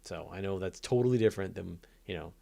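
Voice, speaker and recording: A man hosting a casual live space Q&A, talking off the cuff in an earnest, rambling way that halts and then rushes on. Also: low-pitched, vocal fry, dark tone. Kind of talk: casual unscripted livestream chat.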